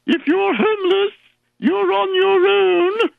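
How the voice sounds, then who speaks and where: A man voicing a mocking impression of a callous, haughty older female politician in a shrill, drawn-out way, on radio. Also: fairly even intonation, vocal fry, heavily acted. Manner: shrill, scornful, drawn-out delivery. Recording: narrow tinny phone-like radio audio.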